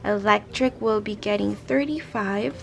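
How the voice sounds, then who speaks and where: A young woman with a raspy, hoarse voice, chatting casually as a budgeting vlogger and counting out cash into envelopes. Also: medium pitch, measured pace, noisy background. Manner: chatty, rambling, counts money aloud. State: hoarse from a late night. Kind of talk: casual chat while stuffing cash envelopes.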